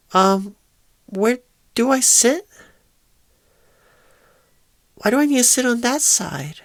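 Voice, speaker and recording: A woman playing a painfully shy, nervous rookie job recruiter in an ASMR roleplay, halting, stammering and apologetic. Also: mid-range voice, fairly bright tone, dry recording, clean studio sound. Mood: flustered, timid.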